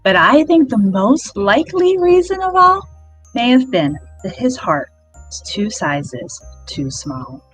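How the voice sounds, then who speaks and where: A woman's voice: on the high side, very animated, slow, rough recording. A woman reading a children's rhyming storybook aloud with plenty of expression. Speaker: library staff member reading aloud. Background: background music.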